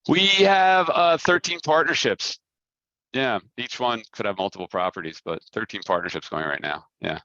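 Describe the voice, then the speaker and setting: A man talking informally on a business conference call, halting as he repeats and rephrases his words. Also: medium pitch, bright, forceful tone, dry recording, lo-fi sound. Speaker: company head answering investor questions.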